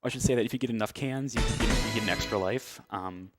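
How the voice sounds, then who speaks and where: A man's voice: fairly low pitch, fast talker. A man giving casual, laid-back live commentary as he speedruns a video game, hesitant and dryly joking. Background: loud video game music and sounds.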